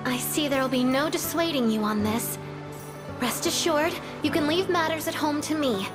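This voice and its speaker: Woman acting a high-pitched, courteous young noblewoman. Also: moderate pace, over music, natural voice. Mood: accepting. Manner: polite, formal diction. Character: loyal, caring young noblewoman, devoted sister. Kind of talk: scripted video game voice acting.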